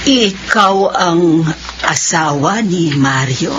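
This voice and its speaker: Slow-spoken, tender older aunt. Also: female voice, on the low side, expressive. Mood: tender wonder. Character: gentle older aunt, long-lost sister, tenderly emotional. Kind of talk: Filipino radio drama acting. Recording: noisy, slightly dull radio drama recording.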